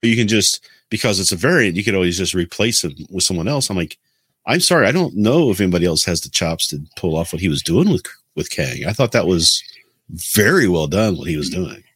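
A man's voice: low voice, very animated, brisk, fairly bright tone, slightly rough, no echo. A man chatting casually as a podcast co-host, earnestly arguing his point with hesitant restarts. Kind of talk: casual debate among friends.

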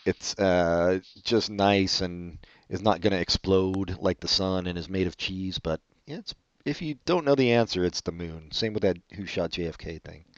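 A man hosting a casual comedy chat, reading online questions aloud and answering with deadpan sarcasm. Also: normal speed, no room sound. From California. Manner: sarcastic, deadpan jokes with sudden pitch jumps. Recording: dull, muffled lo-fi audio.

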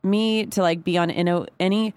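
A woman's voice: lowish voice, fairly even intonation, moderate pace, a little mellow, smooth, no echo. A young woman with a head cold, co-hosting a casual podcast, chatty and full of "like" fillers and laughs. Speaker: podcast co-host. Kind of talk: casual chat.

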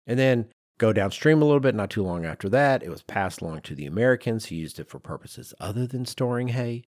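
A man with a lowish voice hosts a design talk in a casual, storytelling manner. His pitch moves around a great deal as he explains and acts out little asides. The sound is dry and close. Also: a little fast, no room sound. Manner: informal, animated storytelling with acted-out asides. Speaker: podcast host. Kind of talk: conversational explainer of design history.

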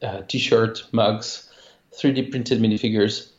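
A man with a French accent, a game company founder talking in a casual interview, halting with many restarts. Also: on the low side, fairly even intonation, echoey room, highs cut off. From France. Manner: halting, many restarts and fillers, laughs easily. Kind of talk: casual interview over a call.

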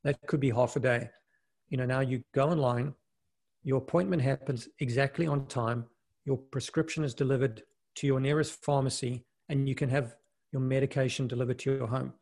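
A man's voice: medium pitch, moderate pace, creaky. A middle-aged man who grew up in South Africa talks casually as an interview guest, often restarting his sentences. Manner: relaxed, with frequent restarts and "you know". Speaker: guest, investment fund manager and mountaineer.

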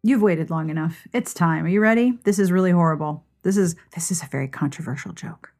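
A woman's voice: on the low side, a little fast, darkish tone. A woman hosting a podcast in an informal, playful, conversational way. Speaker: podcast host. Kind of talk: chatty podcast hosting and sign-off.

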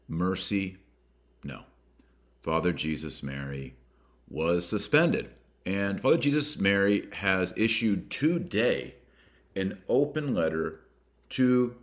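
A man with a low voice hosts a religious commentary podcast. He moves between reading aloud and commenting, leaning on key words with deliberate emphasis and using rhetorical questions. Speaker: podcast host.

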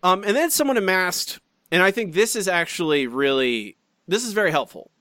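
A man co-hosting a podcast, reading out listener comments in chatty, animated fashion with frequent restarts and asides. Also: high voice, moderate pace, dry recording, studio quality. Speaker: podcast co-host.

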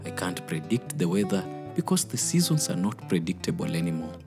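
A man playing worried farmer, Kenyan accent, very low voice, guitar music, radio play. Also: big pitch swings. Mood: pensive. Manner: slow, reflective narration. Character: worried male farmer writing a diary.

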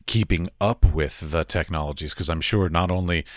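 A man in his forties hosting a podcast, chatting in a relaxed way and laughing easily. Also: deep voice, moderate pace, dry recording, studio quality. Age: 40s. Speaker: podcast host. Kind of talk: casual interview chat.

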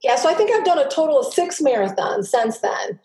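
A middle-aged woman, a native Greek speaker, telling her personal story as a podcast guest in an earnest, halting way with many restarts. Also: high voice, very animated, darkish tone. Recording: roomy echo.

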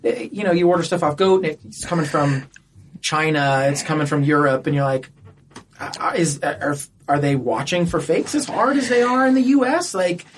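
A man's voice: on the high side, moderate pace. A man chats casually as a guest on a podcast. He gripes in a blunt way and swears without fuss. Faint noise and small clicks sit behind his voice.

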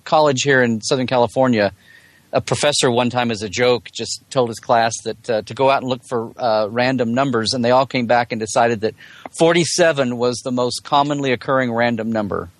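A man's voice: medium pitch, brisk, no echo. A man chatting casually as a podcast guest, explaining things in detail with jokey asides. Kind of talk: casual interview chat.

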